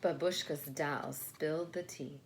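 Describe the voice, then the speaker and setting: A woman reading a picture book aloud to children in an expressive storytelling style. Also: low voice, a little slow. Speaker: storybook reader. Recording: faint background noise.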